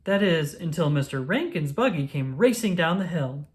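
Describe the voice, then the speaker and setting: A woman reading a children's story aloud, with expressive, deliberate phrasing for young listeners. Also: low-pitched. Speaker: storybook reader. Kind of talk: children's read-aloud narration.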